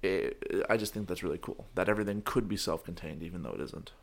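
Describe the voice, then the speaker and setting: A young man hosting a casual podcast, his voice hoarse from shouting, talking in a goofy, rambling way with frequent restarts. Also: sits low, quick pace. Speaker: podcast host. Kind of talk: casual chat.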